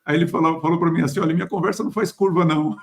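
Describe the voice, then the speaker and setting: Male podcast host, career coach; chatty, affirming interviewer with a gravelly voice. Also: on the high side, steady tone, very fast. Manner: chatty, full of restarts and affirmations. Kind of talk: conversational interview.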